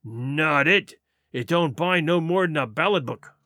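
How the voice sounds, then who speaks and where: A man's voice: high voice, sing-song, a little slow, pushed and bright, no echo, clean studio sound, heavily acted. A man voicing a sly, domineering pirate sea cook in an audiobook, with blustering, derisive, rustic seafaring talk. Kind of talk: audiobook character voice.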